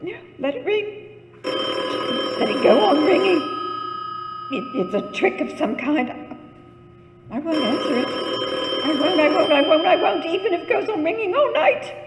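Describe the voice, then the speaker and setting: An actress playing a frantic, imperious bedridden woman with a shrill voice in an old-time radio thriller, haughty and fretful, repeating her words. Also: sing-song, unhurried, long pauses, very noisy, full character voice. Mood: defiant, distraught. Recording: echoey hall with long reverb.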